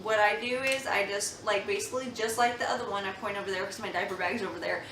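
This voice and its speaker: A woman's voice: mid-range voice, fairly even intonation, fast, background noise. A young woman, a mother, chatting earnestly at home about baby products, with many ums and restarts. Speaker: mom vlogger reviewing baby products. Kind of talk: casual product review chat. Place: home room. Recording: echoey room, slightly far from mic.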